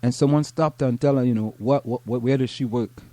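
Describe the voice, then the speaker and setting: A middle-aged Trinidadian man reads a news piece aloud and then drifts into casual chat, often stumbling and repeating words as he goes. His voice is dark and mellow, and the recording is dry with almost no room sound. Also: medium pitch, steady tone, brisk, no echo. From Trinidad and Tobago. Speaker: podcast co-host.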